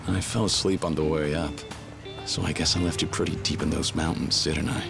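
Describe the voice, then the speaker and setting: A man's voice: very deep voice, steady tone, a little fast, creaky, full character voice. An actor voicing a stoic, terse young male yakuza brawler in an English game dub, with a dry, clipped, understated delivery. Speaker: game character. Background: game soundtrack music.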